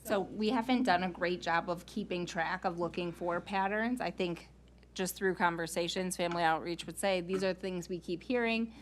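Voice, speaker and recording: A woman's voice: on the low side, normal speed, slight background noise. A woman speaking as a school staff member in an informal, explanatory meeting presentation, earnest and given to rhetorical questions.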